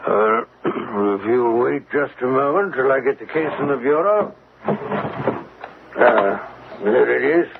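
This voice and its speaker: An actor playing an exasperated gentleman father in an old radio drama, gravelly, halting and indignant. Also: medium pitch. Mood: flustered. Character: exasperated, slow-spoken gentleman, father of a grown son. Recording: noisy, tinny old radio recording.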